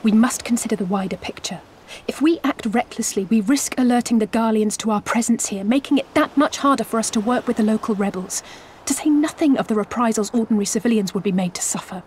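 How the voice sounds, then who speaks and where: An actress playing a level-headed, serious strategist in a video game, measured, articulate and formal. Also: female voice, on the high side, a little mellow, a little hiss or hum, close to an everyday voice. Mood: cautioning.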